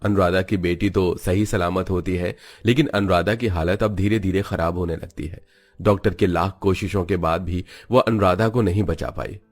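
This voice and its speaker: Low-voiced male storybook narrator. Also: moderate pace. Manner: steady, storytelling.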